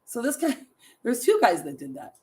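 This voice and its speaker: Middle-aged woman, raspy voice, a touch high; casual live-stream host reading trivia to viewers. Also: sing-song, normal speed, a little mellow. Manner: chatty, laughs often, reads facts and stories to viewers.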